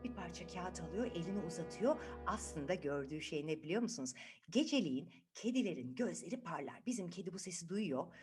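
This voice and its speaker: A woman's voice: lowish voice, fairly quick, over music. A woman telling a children's story expressively, often putting questions to her listeners and acting out what happens. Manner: expressive, asks the listeners frequent questions, acts out events.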